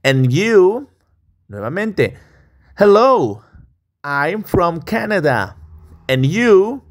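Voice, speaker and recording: Man, Peruvian accent, native Spanish speaker, language teacher, unhurried pace, very lively intonation. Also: sits high. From Peru. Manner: slow, deliberate modelling of phrases. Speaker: English teacher, online course host. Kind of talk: step-by-step language lesson with repetition drills.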